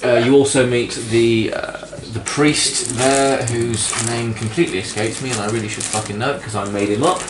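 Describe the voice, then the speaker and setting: A man narrating a tabletop game as game master in a casual, halting way, searching for his words. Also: medium pitch, fairly even intonation, background noise. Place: indoor room, group around a game table. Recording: roomy, distant mic with music behind.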